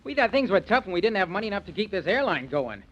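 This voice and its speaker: An actor playing an anxious airline partner trying to stop a fight, agitated and pleading, in 1940s radio acting style. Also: male voice, sits high, very animated, fast talker, fairly bright tone, highs cut off, full character voice. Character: anxious peacemaking airline partner, adult man.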